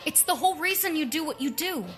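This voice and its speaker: An actress playing an earnest, persuasive, resolute ally in a radio drama. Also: female voice, high voice, expressive, fast talker, fairly bright tone. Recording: faint music behind the dialogue.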